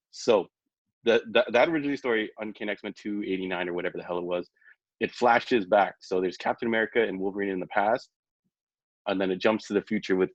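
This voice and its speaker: Lower-voiced man, slightly muffled, pitching casually. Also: no echo. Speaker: podcast panelist. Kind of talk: casual fan chat pitching ideas.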